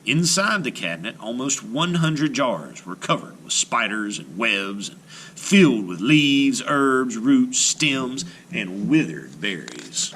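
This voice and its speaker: A male storyteller narrates a children's tale with theatrical emphasis. His mid-pitched voice swings widely and has a very bright, forceful edge, over faint noise. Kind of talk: dramatic children's read-aloud narration.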